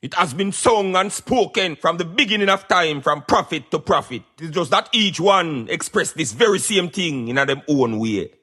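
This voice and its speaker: Bright, emphatic Jamaican man on radio. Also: fairly high pitch, animated, normal speed, hardly any pauses. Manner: emphatic, preaching in Patois. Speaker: radio show host. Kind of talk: opinionated talk radio commentary.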